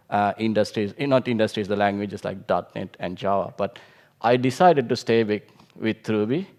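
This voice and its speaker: Sri Lankan man giving a conference talk. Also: medium pitch. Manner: informal, with frequent restarts and fillers. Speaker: conference speaker.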